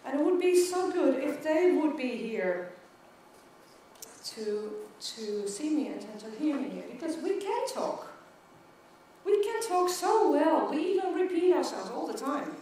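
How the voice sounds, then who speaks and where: High-voiced Swedish woman who stutters. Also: sing-song, measured pace, warm tone, a little hiss or hum. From Sweden. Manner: stutters with repeated words and syllables. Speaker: keynote speaker. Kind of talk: conference keynote speech. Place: large conference hall. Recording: echoey hall, mic from far off.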